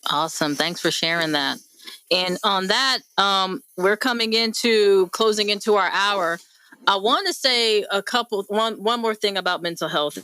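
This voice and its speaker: A woman's voice: medium pitch, normal speed, sharp tone, clear, resonant tone, no room sound, rough recording. A woman hosting a radio panel discussion, speaking in an encouraging, conversational and emphatic way. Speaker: host; mental health professional.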